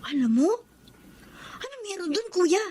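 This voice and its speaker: A woman's voice: very high-pitched, big pitch swings, a little slow, mellow, full character voice. A woman playing a hurt, sulky young schoolgirl in an emotional radio drama. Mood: hurt, puzzled. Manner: plaintive, emotional.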